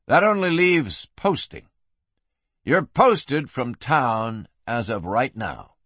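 A man's voice: medium pitch, animated, takes it slowly, fairly bright tone, slightly rough, no echo, heavily acted. A man voicing a stern, unyielding lawman in an audiobook Western, giving curt, commanding orders. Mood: firm.

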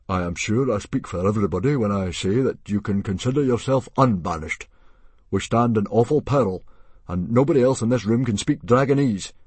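A man voicing a gruff, self-important Viking chief who speaks in a loud, commanding way. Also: no echo. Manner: booming, commanding.

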